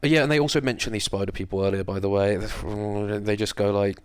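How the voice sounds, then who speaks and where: A man's voice: on the low side, fairly even intonation, a little fast, smooth, no echo, clean studio sound. A man co-hosting a film podcast, chatting casually with wry, sarcastic humour and some swearing. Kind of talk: casual chat, recapping a film plot.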